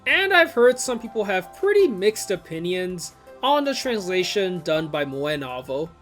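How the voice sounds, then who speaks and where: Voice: mid-range voice, a bit bright. A video creator giving scripted commentary in an informal, wry way. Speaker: video creator reviewing visual novels.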